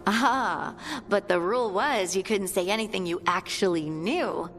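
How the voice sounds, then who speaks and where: A woman playing a warm, earnest young scout in a fantasy video game, chatting in a friendly, conversational way. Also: mid-range voice, normal speed, faint music behind, close to an everyday voice. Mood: fond, chatty. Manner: chatty, friendly, lightly amused.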